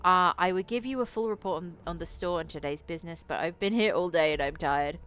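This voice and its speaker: Woman reading as a tired, low-voiced shop man. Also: brisk, fairly bright tone, clean tone, close to an everyday voice. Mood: weary, matter-of-fact. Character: tired male shop worker, visual novel character.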